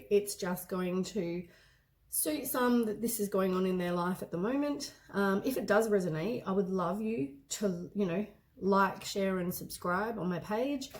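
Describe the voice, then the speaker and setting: A hoarse woman with a head cold gives a casual tarot reading, meandering and often correcting herself mid-sentence. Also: medium pitch, darkish tone. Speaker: tarot card reader.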